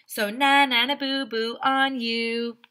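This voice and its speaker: Woman as a cocky, bright-voiced schoolboy. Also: fairly high pitch, steady tone, takes it slowly, clean tone, voice pushed up. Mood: teasing. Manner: blunt, teasing. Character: cocky, silly young schoolboy who narrates.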